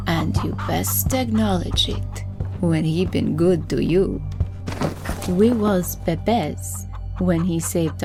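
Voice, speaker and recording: A woman playing a streetwise, superstitious Cajun bayou woman in an audio drama, with slow, incantatory storytelling and quiet menace. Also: lowish voice. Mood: hushed, ominous. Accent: Louisiana Cajun.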